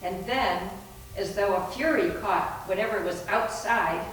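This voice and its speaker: Woman, readers theater narrator, mid pitch, unhurried, far from the mic, faint room noise. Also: fairly bright tone. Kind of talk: dramatic scripted narration. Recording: very echoey room, distant mic, background noise.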